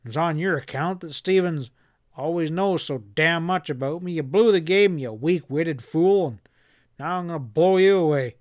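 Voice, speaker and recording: A man's voice: on the high side, normal speed, few pauses, pushed and bright, dry recording. A man voicing a hard, furious outlaw leader who roars and snarls his threats. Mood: snarling. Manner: snarling, roaring, ominous.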